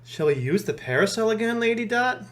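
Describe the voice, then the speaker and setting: A woman's voice: a little high, expressive, fairly quick, close to an everyday voice. A woman voicing a chivalrous male knight who speaks in a formal, courtly way. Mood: wistful.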